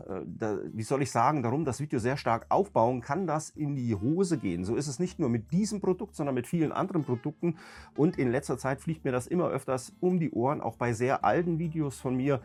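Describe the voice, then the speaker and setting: A man with a cold, hoarse and raspy, ranting emphatically as a YouTube host talking casually to his viewers. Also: medium pitch, a little mellow. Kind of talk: casual vlog talk to viewers.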